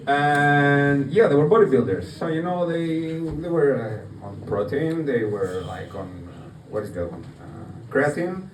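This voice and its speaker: A man's voice: a little high, unhurried, hardly any pauses. Greek man doing stand-up in noisy room. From Greece. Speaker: amateur stand-up comedian. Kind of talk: stand-up comedy storytelling to an audience. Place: live open mic night in a bar. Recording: roomy, distant mic, noisy bar open mic. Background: room noise, audience laughter.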